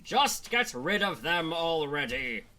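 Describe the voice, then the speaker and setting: Pompous bossy king dad for a fantasy audio drama, high pitched for a man, slow and deliberate. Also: big pitch swings, sharp tone, heavily acted. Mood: angry. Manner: pompous, commanding. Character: haughty, angry king and stern father.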